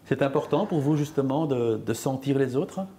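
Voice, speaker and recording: A male sports interviewer with a mid-pitched, mellow voice puts short, direct questions to his guest. He follows up on answers and presses for detail. Faint hiss sits behind him. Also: normal speed.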